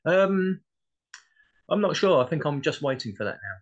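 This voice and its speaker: A man's voice: fairly high pitch, big pitch swings, normal speed, lo-fi sound. A man speaking as an interview guest in a casual, modest and reflective way. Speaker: interview guest, playwright and actor.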